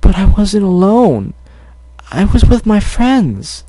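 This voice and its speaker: A man's voice: high-pitched, sing-song, slow pace, dark tone, no echo, voice pushed up, heavily acted. A man voicing a young filly pony girl who pleads tearfully with her big brother.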